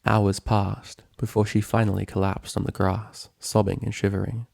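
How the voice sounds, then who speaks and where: A male narrator reads a dramatic story. His voice is low and noticeably gravelly, with a solemn, measured delivery, recorded very dry and close. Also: little pitch movement, a little mellow, no echo. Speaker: narrator, fan fiction reader.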